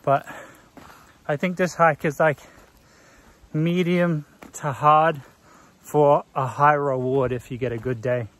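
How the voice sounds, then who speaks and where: A man in his thirties giving relaxed trail commentary to a phone held at arm's length, outdoors on a forest hiking path. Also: on the high side, slow pace, pauses often, no echo. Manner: relaxed, informative, talking while walking. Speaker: hiking vlogger. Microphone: phone camera at arm's length.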